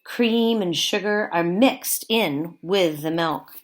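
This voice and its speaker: A woman teacher reading aloud to young children in simple, deliberate phrasing, pausing to explain as she goes. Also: lowish voice. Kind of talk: read-aloud lesson with explanatory asides.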